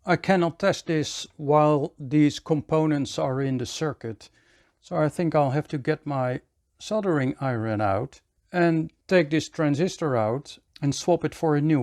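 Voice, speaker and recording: A man's voice: on the high side, a little mellow. A man talking methodically through a hands-on repair, step by step, as the host. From Netherlands. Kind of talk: hands-on repair tutorial commentary.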